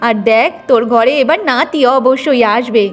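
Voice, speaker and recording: A woman's voice: on the high side, normal speed, lightly acted. A woman playing a boastful, meddling older village grandmother in a cartoon moral story. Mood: meddling, persuasive.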